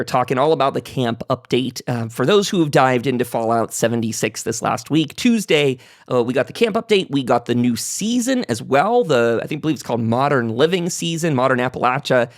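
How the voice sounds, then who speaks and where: Man, livestream host, lively varied intonation, informal, talkative, chatty delivery. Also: mid-range voice, fairly quick, few pauses. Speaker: host of a gaming livestream round table.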